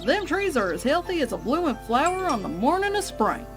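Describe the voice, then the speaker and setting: A woman's voice: sits high, very animated, fairly quick. A woman voicing a hearty, hardworking cartoon farm mare. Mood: folksy, satisfied. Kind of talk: cartoon fan fiction voice acting. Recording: clear music behind the voice.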